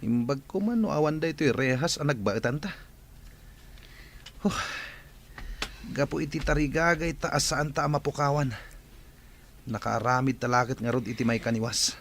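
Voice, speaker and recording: A man's voice: mid-range voice, moderate pace, long pauses, a little hiss or hum, lightly acted. A man playing a regretful, impatient crook in a radio drama, with an Ilocano accent. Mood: rueful. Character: bitter, regretful jailed crook, impatient accomplice.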